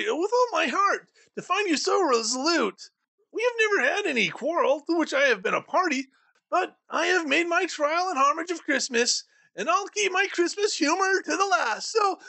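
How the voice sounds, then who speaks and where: A man doing a high, bright eager young nephew. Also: sing-song, moderate pace, vocal fry, exaggerated voice. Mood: pleading. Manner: warm-hearted, pleading and emphatic. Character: cheerful earnest young man, kind nephew. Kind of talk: storybook reading.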